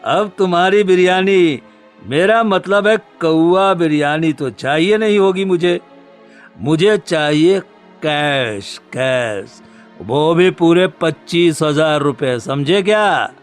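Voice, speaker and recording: A man's voice: a little high, faint music behind. A man voicing a smug, scheming blackmailing neighbour in a cartoon story, with a taunting, theatrical manner. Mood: menacing, gloating. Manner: taunting, drawn-out, theatrical menace. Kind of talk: Hindi cartoon story dubbing.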